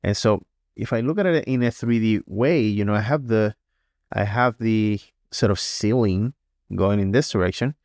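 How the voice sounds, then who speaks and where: A man teaching a digital painting paintover, sounding congested and a little hoarse, talking casually with frequent "right?" checks and small restarts. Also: lowish voice, normal speed, darkish tone, dry recording, clean studio sound. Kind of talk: tutorial voice-over.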